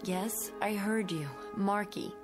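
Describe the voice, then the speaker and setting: A woman's voice: medium pitch, steady tone, slow, lightly acted. A woman playing a hesitant, proper, defensive schoolteacher in a radio drama. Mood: rattled. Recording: music under the voice.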